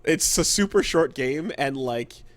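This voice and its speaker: Male podcast co-host, mid pitch, conversational, halting delivery with repeated words. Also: expressive, dry recording. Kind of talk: casual gaming podcast chat.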